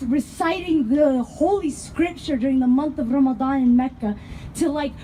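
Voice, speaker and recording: A young woman from Denver performing spoken word poetry, rhythmic and impassioned, building to shouted lines. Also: sits high, a little hiss or hum, poor audio. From Denver, Colorado.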